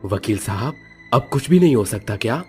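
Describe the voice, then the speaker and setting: A man's voice: on the low side, expressive, moderate pace, soft background music, natural voice. A man playing a worried, earnest businessman husband fallen on hard times in a family story. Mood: distressed. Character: worried businessman husband who lost everything.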